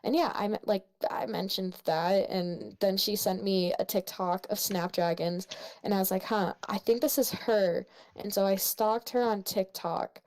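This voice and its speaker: A woman's voice: medium pitch, fairly even intonation, measured pace, darkish tone. A young woman chatting casually with a friend, giggly and playful, rambling as she tells stories. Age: young adult.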